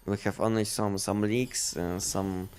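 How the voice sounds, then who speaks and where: A man chatting casually on a game livestream, explaining things in a halting, repetitive way. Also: on the low side, level tone, a little mellow, clean tone. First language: not English. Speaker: game live streamer.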